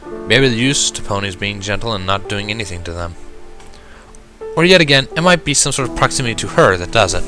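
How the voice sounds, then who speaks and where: A man narrating a first-person story in an expressive, reflective and dramatic way. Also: lowish voice, fairly quick. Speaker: reader of fan fiction. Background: background music.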